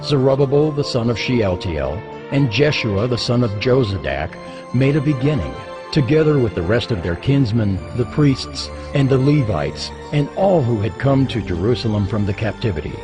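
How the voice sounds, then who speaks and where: A man narrating scripture as a scripted dramatized reading, solemn and expressive in his storytelling. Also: medium pitch, moderate pace. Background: music.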